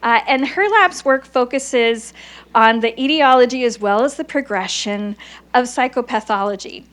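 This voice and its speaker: A woman's voice: on the high side, moderate pace, fairly bright tone. A woman hosting a lecture series, enthusiastically introducing the guest speaker with frequent "uh"s. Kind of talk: formal introduction at a lecture event.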